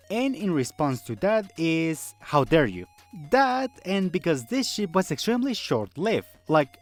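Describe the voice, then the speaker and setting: A video essayist narrating a scripted fandom video in a conversational way, with rhetorical questions and wry asides. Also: mid-range voice.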